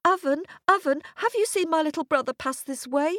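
Bossy impatient kid girl in a fairy tale, high voice, really insistent. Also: fairly quick, fairly bright tone, no echo, studio quality. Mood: pleading. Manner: insistent, foot-stamping. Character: headstrong impatient young girl, oldest sister.

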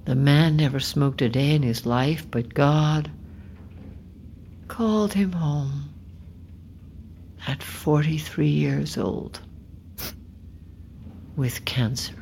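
An actress playing a middle-aged, bitter, quietly menacing farm widow who grieves her son, speaking in a deliberate hush in a naturalistic audio drama. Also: deep voice, gravelly, slight background noise, full character voice. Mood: bitter grief. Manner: slow, deliberate, hushed.

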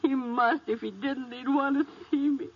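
An actress playing a heartbroken, devoted daughter in a 1950s radio drama, tearful, halting and pleading. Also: female voice, high-pitched, fairly even intonation, slight background noise, natural voice. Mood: hurt disbelief. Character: heartbroken devoted grown daughter of an old man. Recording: muffled vintage 1950s radio recording.

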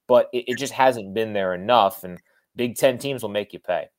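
A man's voice: lowish voice, normal speed. An American man giving conversational sports analysis as an interview guest, emphatic and repeating words as he reasons things through. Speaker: sports radio play-by-play broadcaster, interview guest.

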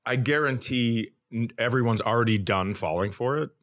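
A man's voice: medium pitch, moderate pace, a bit bright. A man co-hosting a comedy podcast, bantering casually with wry riffs and mock-sincere asides.

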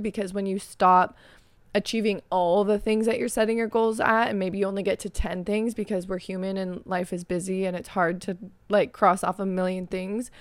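Raspy-voiced young woman podcasting. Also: mid-range voice, no room sound. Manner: chatty, filler-heavy, frank. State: raspy, losing her voice. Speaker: podcast host. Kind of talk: casual solo podcast chat.

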